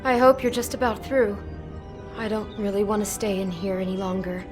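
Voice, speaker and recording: An actress playing an anxious young woman in a video game, talking in an expressive, worried way with stammering restarts. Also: medium pitch, moderate pace, lightly acted. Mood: uneasy. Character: anxious young woman, kind, easily unsettled. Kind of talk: video game cutscene acting. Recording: game audio with music behind.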